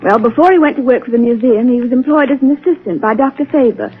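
A woman's voice: a little high, fast talker, phone-quality sound, natural voice. A woman playing an eager, questioning detective's companion in a 1930s radio drama, earnest, on a thin old recording.

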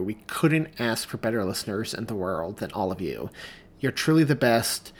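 A man in his thirties hosting a podcast, giving upbeat announcements in an enthusiastic, gushing, folksy way. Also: medium pitch. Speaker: podcast host.

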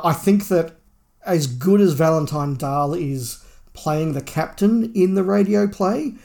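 A man's voice: a little high, creaky, darkish tone. A man co-hosting a podcast, casually discussing and reviewing a book, feeling his way through his phrasing with repeated words. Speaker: podcast co-host.

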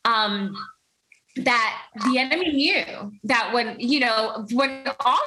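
Bright-voiced woman sharing her story. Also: on the high side, takes it slowly, poor audio. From Puerto Rico. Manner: earnest, animated storytelling with laughs. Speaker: guest. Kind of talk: personal testimony in an interview.

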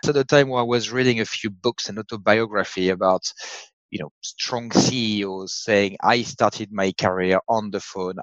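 A man's voice: lowish voice, no room sound, dull audio. A man from France with a French accent, telling his career story as a guest in a frank, chatty way over a video call. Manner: frank, chatty, slightly halting. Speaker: guest, company CEO. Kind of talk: casual interview storytelling.

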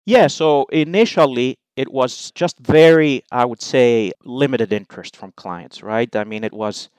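A middle-aged man from Greece, a guest in an interview, explaining his views in measured, numbered points. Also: mid-range voice, a little mellow, smooth, no room sound, rough recording. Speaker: investment allocator, guest. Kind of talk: interview answers.

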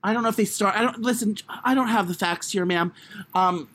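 A man with a high voice co-hosting a casual comedy podcast, crude and self-deprecating in his humour. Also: a little fast, a bit bright. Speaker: podcast co-host. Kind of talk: casual comic chat.

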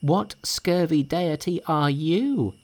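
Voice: mid-range voice, takes it slowly, a little mellow, pitched above the performer's own voice. A narrator of unclear sex, voicing a brash, boastful, scornful monkey king in a storybook tale. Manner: scornful, challenging. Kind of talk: dramatised storybook reading.

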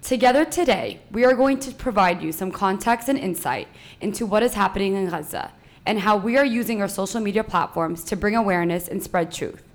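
A woman hosting a podcast, reading an earnest introduction and then interviewing two guests with measured questions. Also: medium pitch, animated. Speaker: podcast host.

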